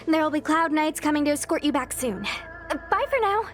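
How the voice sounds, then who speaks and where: A woman's voice: sits high, animated, brisk, a bit bright. A woman acting an eager, friendly, chatty young knight in a fantasy game. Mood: friendly, awkward.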